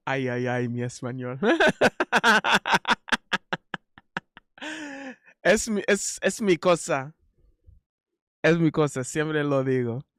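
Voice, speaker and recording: A Haitian man speaking Spanish as a learner, chatting casually on a stream, halting and correcting himself often. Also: on the high side, very animated, a bit bright, dry recording, clean studio sound. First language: not Spanish. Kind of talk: casual chat.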